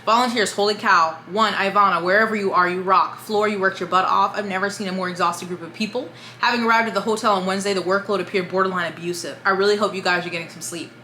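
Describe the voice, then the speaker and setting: Brisk, bright-voiced woman reading aloud. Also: medium pitch, reverberant, a little hiss or hum. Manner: brisk, steady read-aloud delivery. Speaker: book channel host. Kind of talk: reading a written statement aloud.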